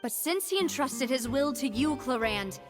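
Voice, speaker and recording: High-voiced, determined young noblewoman. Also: expressive, moderate pace. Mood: resolute. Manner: earnest, forceful courtroom argument. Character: determined young noblewoman seeking justice for her dead father. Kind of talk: dramatic game voice acting. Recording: loud music underneath. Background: music.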